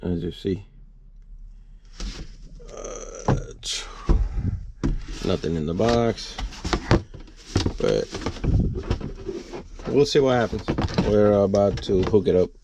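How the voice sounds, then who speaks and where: Low-voiced man over loud vehicle noise. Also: very slow, long pauses. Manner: rambling, thinking out loud. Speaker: vlogger showing a DIY truck installation. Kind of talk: casual unscripted how-to narration.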